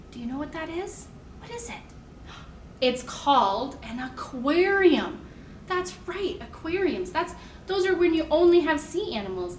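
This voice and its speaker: A high-pitched American woman speaks in an echoey room with faint background noise. She leads toddler storytime, addressing small children in simple, encouraging phrases and questions. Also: recorded from a distance. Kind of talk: picture-book reading and sing-along for toddlers.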